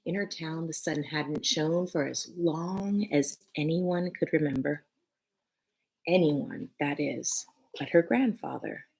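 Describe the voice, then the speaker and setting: A woman's voice: deep voice, lively intonation, vocal fry, a little mellow, slightly rough, poor audio. A woman teacher reading a picture book aloud to children expressively, with short phrases and casual asides. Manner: addresses young listeners, pausing between short phrases.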